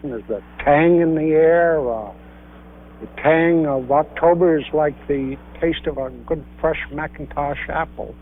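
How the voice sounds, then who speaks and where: A man with a rural New Hampshire accent, talking reflectively in an interview in a halting, musing way. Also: on the high side. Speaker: local interviewee. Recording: dull, tinny 1960s film soundtrack.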